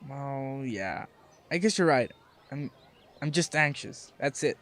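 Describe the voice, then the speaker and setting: A man as an anxious, animated teenage schoolboy. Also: medium pitch, slow. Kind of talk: amateur audio drama acting.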